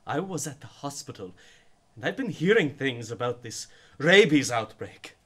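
Guy playing earnest dad reasoning w his kid, average pitch, radio drama. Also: very animated, moderate pace, a bit bright. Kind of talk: audio drama acting.

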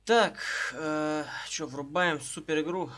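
A man's voice: on the high side, slow, fairly bright tone. A man in his thirties on a live stream, chatting casually from a small room into a headset and greeting viewers one by one. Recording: game music under the voice.

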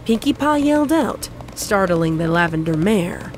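A woman's voice: fairly low pitch, animated, normal speed. A woman narrating a scripted comedic story as a storyteller. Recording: faint music behind the voice.

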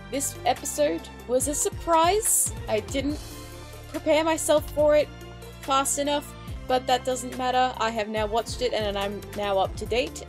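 A woman with a fairly high voice gives casual reaction commentary. She talks as the host of her own video, with music playing behind her. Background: background music.

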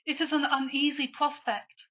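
A woman acting an anxious, florid Victorian gentleman lover with grave formality in a farcical radio play. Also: sits high, flat delivery, normal speed. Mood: uneasy, ardent. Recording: dull lo-fi home recording.

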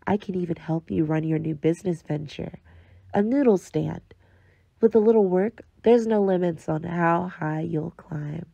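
A woman's voice: fairly low pitch, normal speed, creaky, warm tone, dry recording, muffled recording. A woman voicing an intimate, knowing digital companion who coaxingly guides the listener in a scripted game trailer. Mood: coaxing, sly.

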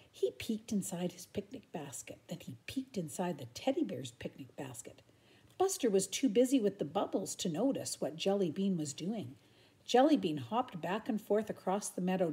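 Older lady reading kids story, mellow voice, super expressive. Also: medium pitch. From Canada. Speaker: storybook reader. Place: room at home.

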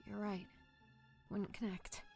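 A woman's voice: mid-range voice, little pitch movement, takes it slowly, creaky, darkish tone, faint music behind. A woman playing a sassy, candid friend in an audio drama, blunt and breezy in her delivery.

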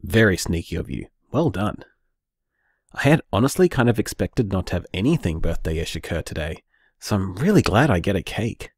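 An actor playing a loving, frank and wry young Australian boyfriend in an audio drama told through voicemails. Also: male voice, low voice, very animated, moderate pace, breathy edge, no room sound, studio quality, full character voice. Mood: grateful, fond.

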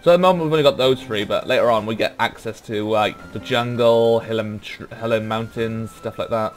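A man's voice: fairly low pitch, a little fast, soft background music. A young Welsh man giving rambling, laid-back let's play commentary, trailing off with ums as he goes through menus. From Wales. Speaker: gaming YouTuber.

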